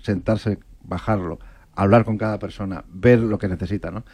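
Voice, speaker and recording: A man's voice: fairly low pitch, fairly even intonation, moderate pace, a little mellow, slightly rough, no room sound. A man hosting an interview, joking and exclaiming in a playful, theatrical way. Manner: jokey, theatrical, emphatic exclamations. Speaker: podcast host.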